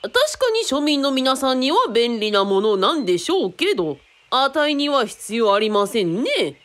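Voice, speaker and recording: A woman's voice: a little high, very animated, normal speed. A woman playing a boastful, eccentric rich guru in a radio drama, pompous and giggly, with mock traditional-storyteller phrasing. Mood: smug.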